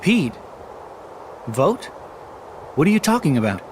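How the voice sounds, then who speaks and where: A man's voice: fairly high pitch, sing-song, measured pace, noisy background. A young man playing a serious, earnest male hero in a video game, restrained in manner. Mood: puzzled.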